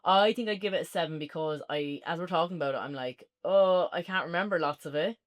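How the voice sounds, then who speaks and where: A woman's voice: fairly low pitch, fast. A woman with an Irish accent co-hosting a casual, unscripted review, chatting informally and often saying "like". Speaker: podcast co-host and reviewer.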